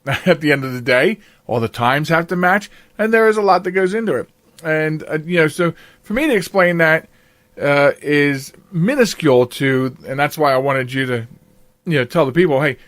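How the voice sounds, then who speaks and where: A man's voice: fairly high pitch, lively intonation, moderate pace, a bit bright, no echo. A man hosting a podcast, chatting casually with a guest in a chatty, rambling way. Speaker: podcast host. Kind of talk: casual interview chat.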